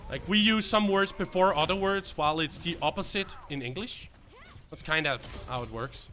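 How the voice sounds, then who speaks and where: A young man with a Danish accent streams a game and talks to his viewers live. His voice is bright and sits a little high, and he rambles along with lots of fillers and restarts. Faint room sound hums behind him. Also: moderate pace, a little hiss or hum. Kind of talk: live gameplay commentary, chatting with viewers.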